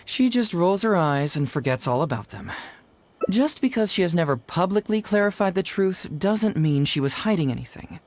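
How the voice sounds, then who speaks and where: An actor playing a confident, clever young male detective in a video game, smooth and glib, explaining things with wry ease. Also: sits high, expressive, normal speed. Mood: wry, assured. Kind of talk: English video game voice acting. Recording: studio voice under game music. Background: game background music.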